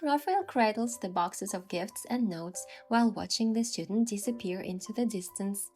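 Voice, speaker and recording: A woman reading scripted first-person story narration as the heroine, with a gentle, sentimental delivery. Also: medium pitch, animated, normal speed, faint music behind.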